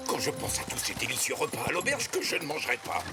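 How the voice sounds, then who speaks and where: A man's voice: medium pitch, huge pitch swings, fast. A man playing a cowardly, gluttonous, whining army sergeant in a cartoon dub. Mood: self-pitying. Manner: whining, blustering, panicky. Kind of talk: French cartoon dub acting. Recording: loud music behind.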